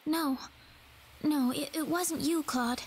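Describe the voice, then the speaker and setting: Game heroine: young, gentle and earnest, high soft voice, hopeful temper. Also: female voice, slow pace, slight background noise. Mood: dazed, searching. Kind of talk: English video game dub acting.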